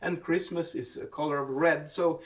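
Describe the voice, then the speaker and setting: A man with a somewhat high-pitched voice talks as a vlog host, explaining in an informal way. He thinks aloud, repeating words and correcting himself along the way. The voice sounds a little distant from the microphone. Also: fairly even intonation, normal speed. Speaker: vlog host and building acoustics specialist answering a question.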